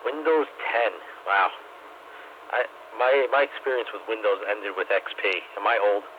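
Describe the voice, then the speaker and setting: A man with a fairly low voice makes wry, casual banter over CB radio. The sound is phone-like and full of static. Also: moderate pace. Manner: wry, joking. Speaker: CB radio club participant. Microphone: CB radio microphone. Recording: tinny CB radio with clear static.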